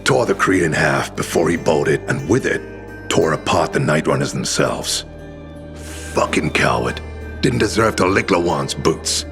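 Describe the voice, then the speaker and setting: A gruff older resistance leader in a video game. His voice is extremely low and full of gravel, and he talks in blunt, sardonic, bitter bursts. Music plays clearly behind him. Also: animated, measured pace, full character voice. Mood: bitter, angry. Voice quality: deep, gravelly. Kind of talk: video game character dialogue.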